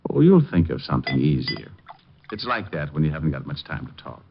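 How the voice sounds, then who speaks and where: An actor playing a wisecracking hard-boiled private detective, narrating and trading dry quips in 1940s radio style. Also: male voice, a bass voice, sing-song, a little fast, gravelly, heavily acted. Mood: flirting. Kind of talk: 1940s radio acting with first-person narration. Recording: dull lo-fi old radio recording, faint music.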